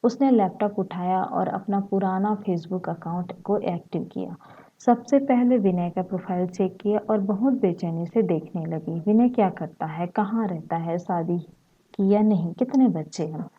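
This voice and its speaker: A woman with a slightly husky voice, narrating a short story. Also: fairly low pitch, unhurried, muffled recording.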